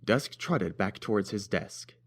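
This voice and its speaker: Low-voiced man narrating a story. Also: expressive, a little slow. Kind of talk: scripted fan-fiction story narration.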